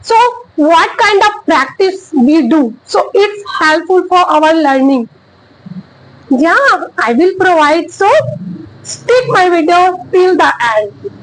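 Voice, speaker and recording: A woman with an Indian accent whose first language is Chhattisgarhi, talking casually to camera about learning English. She speaks haltingly, searching for words, in simple encouraging sentences. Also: extremely high pitch, lively intonation, slight background noise, rough recording.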